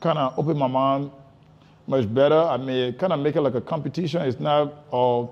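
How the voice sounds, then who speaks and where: A man's voice: medium pitch, steady tone, moderate pace, muffled recording. A man from Liberia with a Liberian accent, telling his story casually as an interview guest, repeating and rephrasing for emphasis.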